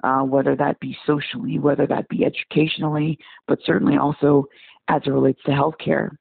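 A man's voice: on the high side, level tone, fairly quick, creaky, slightly rough, no room sound. A man in his forties from Congo, a physician guest giving earnest interview answers that repeat words while he searches for phrasing. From Democratic Republic of Congo. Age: 40s. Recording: dry, tinny phone-like call line.

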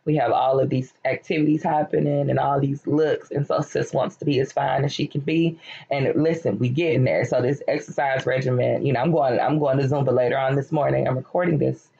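Hoarse woman in her late thirties, candid podcast monologue, gravelly fry, dull lo-fi sound. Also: a bass voice, fairly even intonation, a little fast, dark tone. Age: late 30s. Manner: candid, conversational, encouraging. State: losing her voice, slightly cracking. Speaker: podcast host. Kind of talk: personal reflective monologue. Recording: lo-fi, dull recording.

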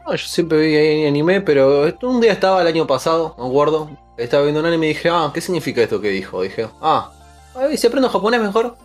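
A man's voice: on the high side, animated, quick pace, faint music behind. A young man with an Argentine accent, a kanji teacher chatting playfully. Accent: Argentine Spanish. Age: young adult. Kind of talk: casual group chat.

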